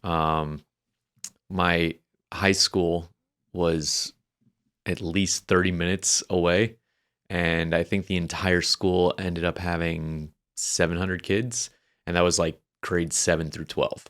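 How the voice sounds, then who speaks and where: Deep-voiced man telling his story. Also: flat delivery, slow, fairly bright tone, smooth. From New Hampshire, USA. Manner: rambling, many restarts and fillers. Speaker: podcast guest, Navy veteran. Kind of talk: casual interview storytelling.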